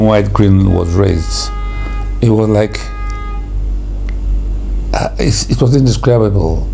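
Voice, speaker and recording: An older man with a Nigerian accent and a croaky voice, a guest recalling the past at an unhurried pace. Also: on the low side, warm tone, slight background noise. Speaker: interview guest. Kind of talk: reflective storytelling.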